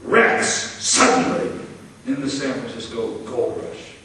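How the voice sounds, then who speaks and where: A man giving a theatrical spoken-word poetry reading in a church, with emphatic phrasing and dramatic pauses. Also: medium pitch, lively intonation. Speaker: poet reading aloud at a poetry event. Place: large church interior, live reading. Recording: very echoey church hall, far-off mic, faint noise.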